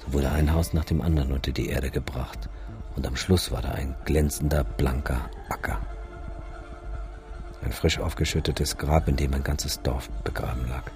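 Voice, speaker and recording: An actor playing a sombre, sardonic photographer recalling a disaster in a radio drama monologue, wry and measured. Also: male voice, rumbling low. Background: music.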